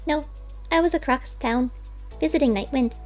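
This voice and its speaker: A woman's voice: high-pitched, moderate pace, faint music behind, pitched above the performer's own voice, natural voice. A woman voicing a wistful, plain-spoken male squirrel with unusual cleverness, striking bargains in an audiobook story. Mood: guarded. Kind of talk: audiobook character voice.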